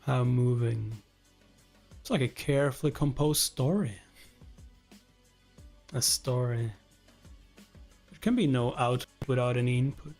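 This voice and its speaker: A man reads as a suspicious executive from a webtoon, thoughtful and probing. He muses in measured phrases at a middle pitch, over faint music. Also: a little mellow. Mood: musing, suspicious.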